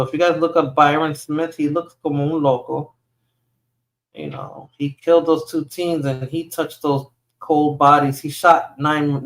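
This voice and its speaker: New York woman chatting on a livestream. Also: deep voice. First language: Spanish. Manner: rambling, answers viewer comments aloud. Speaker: livestream host. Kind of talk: casual livestream chat.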